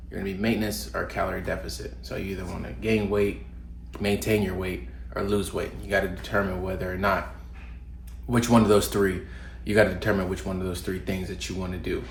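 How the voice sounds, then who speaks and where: A man's voice: deep voice, gravelly, a little hiss or hum. A young man, a little congested from a cold, giving casual fitness advice to camera with plenty of ums and restarts. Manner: relaxed, loose with fillers and restarts. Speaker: fitness vlogger. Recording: roomy home recording, faint ambience.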